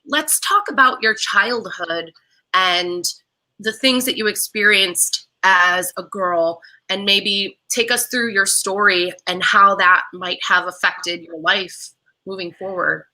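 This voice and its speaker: A woman hosts an online talk show, opening with upbeat, welcoming introductions. Her voice is very bright and sharp. She speaks at an average pitch over a video call. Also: slow, poor audio.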